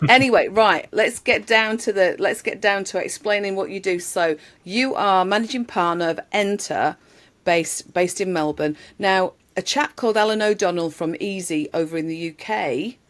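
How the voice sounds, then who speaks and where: A woman's voice: mid-range voice, a little slow. A woman hosting a podcast, chatty and laughing easily, talking with a remote guest. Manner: chatty, laughs often, says "love". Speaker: podcast host. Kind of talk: casual interview chat.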